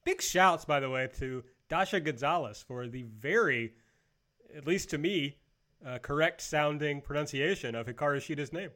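A man with a fairly high speaking voice hosts a casual review discussion. He recaps in a conversational way and gives his opinions bluntly. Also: normal speed, pushed and bright. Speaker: host of a wrestling review show.

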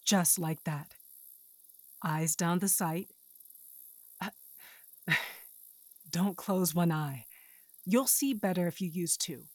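A calm, patient mother, voiced by an actress teaches in an audio drama. She speaks slowly in a lowish, measured voice, recorded without any room sound. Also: dry recording. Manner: measured, instructing.

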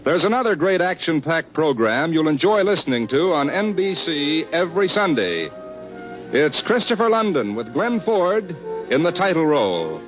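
A man's voice: fairly high pitch, sing-song, normal speed. An American man reading scripted credits and promotions as a 1950s radio announcer. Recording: dull vintage radio broadcast with prominent music.

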